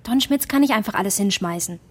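Husky, defiant dreamer of a postwoman. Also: medium pitch, animated, quick pace. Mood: urgent, determined. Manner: insistent, defiant, self-important. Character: delusional, stubborn young postwoman dreaming of stardom. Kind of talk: German radio drama acting.

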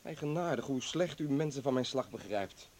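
An actor in a radio play playing a bitter, defiant doctor who was a political prisoner, arguing with sarcasm and indignation. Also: male voice, medium pitch, expressive, moderate pace, a little mellow, natural voice. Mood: scornful, agitated. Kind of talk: dramatic radio play acting.

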